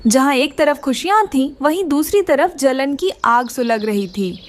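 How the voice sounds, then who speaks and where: Woman, cartoon narrator, expressive storytelling, high voice. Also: a little slow.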